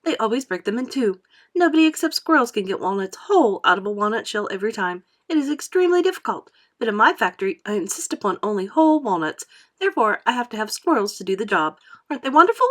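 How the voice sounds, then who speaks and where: A woman voicing an eccentric man who runs a chocolate factory, explaining things quickly and eagerly. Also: on the high side. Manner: brisk, animated, rapid explanations. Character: eccentric quick-talking male chocolate maker.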